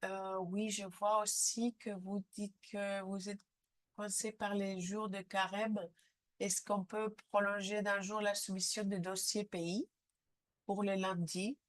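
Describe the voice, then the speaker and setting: A woman with a head cold leads a live online training session, speaking haltingly with frequent filler sounds. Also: medium pitch, flat delivery. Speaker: seminar trainer.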